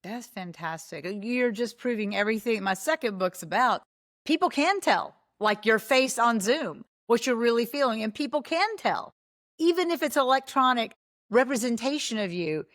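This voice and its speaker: A woman's voice: a little high, expressive, moderate pace, fairly bright tone. A woman hosting a podcast interview over a video call, enthusiastic and prompting her guest with questions. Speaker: podcast host and coach. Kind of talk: casual interview talk.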